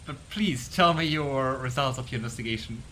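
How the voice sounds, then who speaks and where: A man giving casual gameplay commentary, chatty and curious, thinking out loud. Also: mid-range voice, moderate pace, a little hiss or hum. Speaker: let's play streamer.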